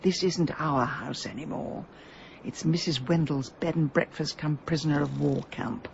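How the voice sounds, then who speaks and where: An actress playing a sharp-tongued, exasperated middle-aged woman in a radio drama, dry, blunt and sardonic. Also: low-pitched, steady tone, normal speed, vocal fry, dull audio, slight background noise. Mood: weary, self-pitying.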